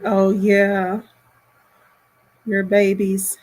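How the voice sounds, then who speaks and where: A woman hosting a casual live stream, giving commentary in a rambling, halting way as she thinks aloud. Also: medium pitch, monotone, darkish tone, poor audio.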